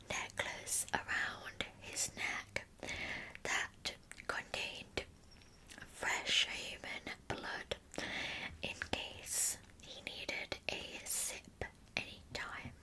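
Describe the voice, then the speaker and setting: A woman hosts an ASMR storytelling session entirely in a soft whisper. Her delivery is unhurried, with a sharp, bright hiss to the breath. She narrates like a true crime storyteller talking closely to her listeners. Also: low-quality recording. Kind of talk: whispered ASMR storytelling, reading with chatty asides.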